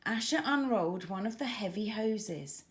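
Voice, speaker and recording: A woman children's author reading her storybook aloud with expressive, dramatic emphasis for young listeners. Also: medium pitch, normal speed.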